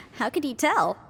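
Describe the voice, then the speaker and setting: A calm, analytical young woman adviser, a little high in pitch. Her delivery is formal, precise and earnest, in anime game dub style. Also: gravelly, slight background noise, heavily acted. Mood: gentle, thoughtful.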